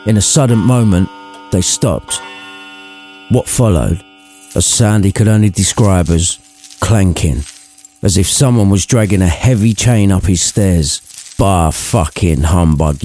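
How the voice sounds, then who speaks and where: Low, mellow male storyteller. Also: slight background noise. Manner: wry, irreverent. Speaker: narrator. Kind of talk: comic scripted storytelling.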